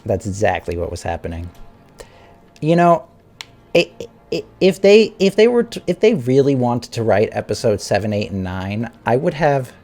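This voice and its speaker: A man's voice: mid-range voice, darkish tone. A man live streaming a casual, emphatic, rambling rant to his chat, swearing now and then. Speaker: live streamer giving opinions.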